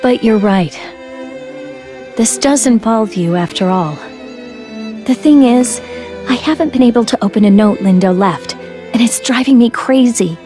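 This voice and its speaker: A woman plays a caring female soldier who looks after her younger teammate and talks in a steady, reassuring way. Her voice sits somewhat high. Faint game music plays under the scene. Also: a little slow, long pauses, natural voice. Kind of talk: English game dub.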